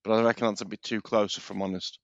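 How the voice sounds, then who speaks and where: A man's voice: lowish voice, flat delivery, quick pace, no echo. A man speaking casually as a guest on a live talk show, with lo-fi, muffled sound.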